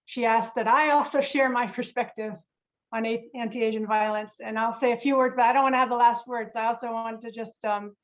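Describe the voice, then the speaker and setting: Woman from korea moderating a video call panel, higher voice, earnest and halting, dull lo-fi audio. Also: fairly even intonation. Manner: earnest, reflective, halting with restarts. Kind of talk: webinar moderation.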